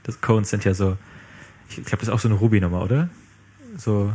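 Man, husky, casual tech chat, rambling, playful asides. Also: lowish voice, steady tone, a little fast, dark tone. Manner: rambling, many fillers and restarts, quotes people playfully.

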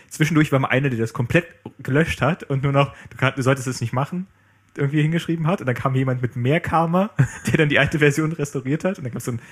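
A man's voice: mid-range voice, breathy edge. A man in casual conversation about programming, rambling and playful with many fillers. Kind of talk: casual tech chat.

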